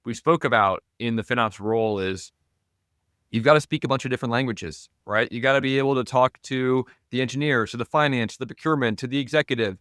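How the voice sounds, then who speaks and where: Male podcast host, mid pitch, clear resonant voice, dry close mic, friendly banter. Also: quick pace, a bit bright, no echo. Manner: friendly, joking. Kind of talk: casual interview chat.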